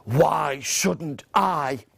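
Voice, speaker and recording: A man voicing a huge, hungry, scary troll in a children's story, with slow, drawn-out menace. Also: on the high side, gravelly, breathy edge, dry recording, voice pushed down, heavily acted. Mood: greedy, menacing.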